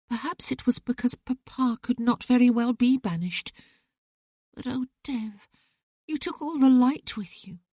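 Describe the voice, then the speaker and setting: A woman's voice: a little high, no echo, clean studio sound, pitched above the performer's own voice. A woman voicing an outspoken, sensitive young woman who talks frankly to her brother. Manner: lively, talkative, candid.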